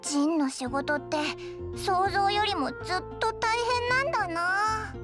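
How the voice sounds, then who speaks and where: A woman's voice: very high voice, animated, measured pace, over music. A woman voicing a small, cheeky, blunt fairy companion with boyish, brash speech in a Japanese game. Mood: puzzled.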